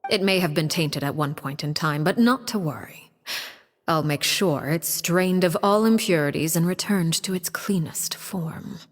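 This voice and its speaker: An actress playing a poised, coolly menacing aristocratic diplomat in a video game, speaking in a formal, measured manner. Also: female voice, on the low side, big-room sound. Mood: cool, assured. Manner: formal, measured, veiled threats. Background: music.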